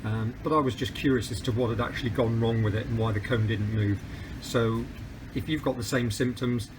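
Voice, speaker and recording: A man's voice: mid-range voice, fairly even intonation, brisk, noisy background. A middle-aged man, walking through a hands-on speaker repair step by step in a practical, methodical way, with frequent ums and uhs. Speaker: DIY repair tutor.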